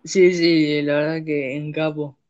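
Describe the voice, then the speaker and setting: Halting, high-voiced young man. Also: little pitch movement, darkish tone, muffled recording. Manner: rambling, halting, many restarts. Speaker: podcast host. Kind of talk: casual chat.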